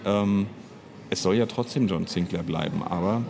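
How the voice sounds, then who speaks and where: A man's voice: deep voice, normal speed, gravelly, darkish tone. A middle-aged man in a casual interview, thinking aloud and often breaking off to rephrase. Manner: thinking aloud, hesitant, self-interrupting, reflective. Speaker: audio drama writer and director being interviewed.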